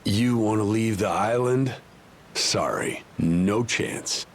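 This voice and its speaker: A man's voice: on the low side, slow. An actor playing a bitter, protective, hot-tempered middle-aged father in a video game. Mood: incredulous.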